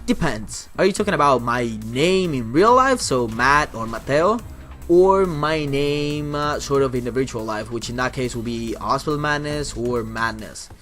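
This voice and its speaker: A man's voice: medium pitch, expressive, normal speed, a bit bright, soft background music. A young man from Italy with an Italian accent, answering viewer questions in a casual vlog, rambling and swearing often, with many restarts. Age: young adult. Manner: rambling, profane, frequent restarts. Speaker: YouTuber and streamer.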